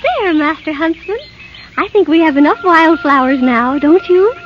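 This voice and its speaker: A woman's voice: high-pitched, animated, moderate pace, muffled recording. A woman playing a sweet, innocent young princess with a light, girlish soprano voice in 1930s radio drama style. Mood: gentle, innocent. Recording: band-limited 1930s radio with orchestra. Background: music.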